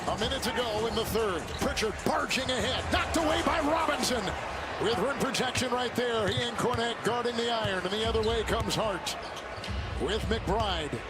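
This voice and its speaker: A man's voice: very high voice, moderate pace, loud music behind the voice. A man calling live basketball play-by-play in clipped, descriptive phrases. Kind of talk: live sports commentary. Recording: loud arena crowd and court noise. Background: basketball arena crowd, bouncing ball.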